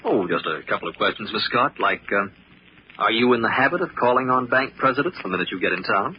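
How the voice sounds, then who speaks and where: Man playing young investigator type, quick patter, lively pitch, insinuating, persistent, vintage radio drama. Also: natural voice. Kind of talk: old radio drama acting. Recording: muffled old radio recording, light noise.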